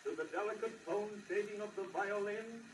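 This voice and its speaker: A man's voice: high voice, moderate pace, echoey room, far from the mic, loud music behind the voice. A man making a formal, declamatory sales announcement, heard from a scratchy acoustic-era 78rpm record. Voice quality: declamatory, projecting. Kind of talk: early 1900s sales announcement. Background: hiss and crackle.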